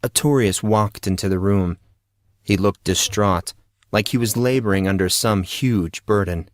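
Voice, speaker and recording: A man's voice: on the low side, a little slow, no room sound, clean studio sound. A man reading scripted fiction narration as the storyteller of an audio drama.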